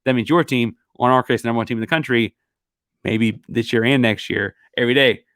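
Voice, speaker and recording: A man's voice: mid-range voice, brisk, fairly bright tone, no room sound, clean studio sound. A man hosting a solo sports podcast, talking casually and rambling with fillers, his voice a bit worn. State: losing his voice. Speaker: podcast host.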